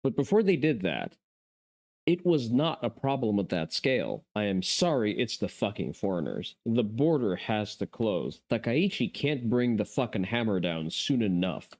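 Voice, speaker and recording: A man's voice: fairly low pitch, big pitch swings, normal speed, a little mellow. A man hosting a casual chat stream and reacting to videos, with sarcastic, profane ranting.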